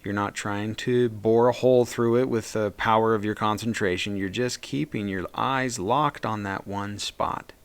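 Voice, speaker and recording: A man leading a guided meditation as a therapist, speaking in a gentle, soothing and reassuring way. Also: lowish voice, fairly even intonation, normal speed, hardly any pauses, clean tone.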